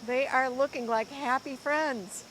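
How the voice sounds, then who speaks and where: A woman reads a children's story aloud in a fairly high voice. She takes it very slowly, phrasing each line dramatically for young listeners. There is some background noise in the recording. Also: fairly even intonation, a bit bright, poor audio. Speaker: school librarian reading story time. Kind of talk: expressive children's picture-book read-aloud.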